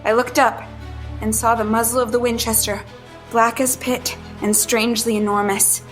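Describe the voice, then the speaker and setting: An actress playing a hunted woman who tells her story as tense, deliberate first-person audio drama narration. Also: a little high, little pitch movement, faint music behind, lightly acted. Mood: watchful, tense.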